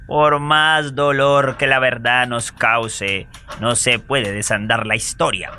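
A man playing a solemn judge in a radio drama, speaking in a grave, deliberate, admonishing manner. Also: medium pitch, steady tone, pushed and bright, a little hiss or hum. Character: solemn male judge pressing a woman with painful truths.

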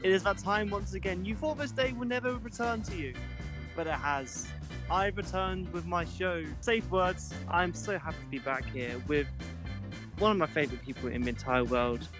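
A man's voice: high voice, moderate pace, music in the background. A young man hosting a podcast in casual chat, jokey and self-mocking, often stumbling and restarting his words. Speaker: podcast host.

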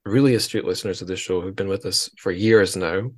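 A man's voice: low-pitched, fairly quick. A man hosting a podcast in a casual book discussion, chatty and wry, rambling as he goes. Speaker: podcast host.

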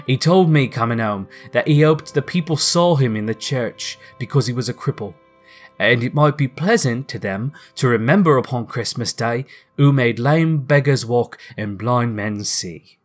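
A man's voice: medium pitch, moderate pace, hardly any pauses, slightly rough, faint music behind, lightly acted. Storybook poor clerk and father, performed by a man: earnest, doting, tender, mid-range. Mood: tender, tremulous.

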